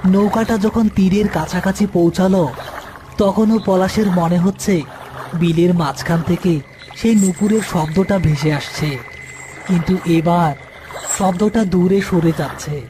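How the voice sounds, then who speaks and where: High-voiced man narrating a horror tale. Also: steady tone, measured pace, background noise.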